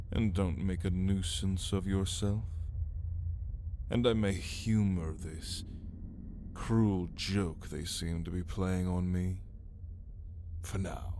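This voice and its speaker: Man playing a low, very slow, menacing vampire. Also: noisy background. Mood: bored, menacing. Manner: slow, theatrical, taunting, with whispered drawn-out words. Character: ancient caged male vampire, arrogant, menacing and amused. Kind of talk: ASMR roleplay, addressing the listener.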